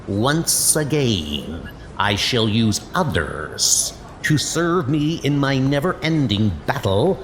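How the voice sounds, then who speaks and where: Guy doing an evil scheming god villain, slow n grandiose, really theatrical swoops, comic dub style. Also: mid-range voice, sing-song, slight background noise. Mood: scornful scheming. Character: scheming, menacing male trickster god of evil, grandiose and vengeful.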